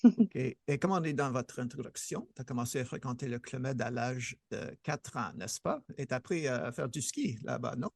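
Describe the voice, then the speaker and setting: A man hosting a casual interview podcast, speaking in a hesitant, halting way. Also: medium pitch, animated, creaky, darkish tone, no echo, clean studio sound. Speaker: podcast host.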